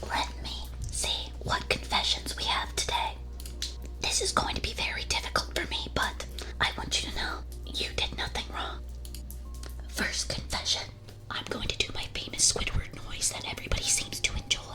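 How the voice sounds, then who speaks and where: A woman speaks in a soft whisper, right up at an ASMR microphone. She reads aloud like a streamer reacting to listeners, playful and mock-solemn in her delivery. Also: moderate pace, low-quality recording. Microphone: close ASMR microphone.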